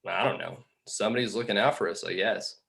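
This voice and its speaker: A man playing a pedantic exiled angel in a film script table read, explaining things in a dry, measured way. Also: lowish voice, vocal fry, fairly bright tone. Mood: reasoning. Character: Bartleby, exiled male angel, reasoning, pedantic, exasperated schemer.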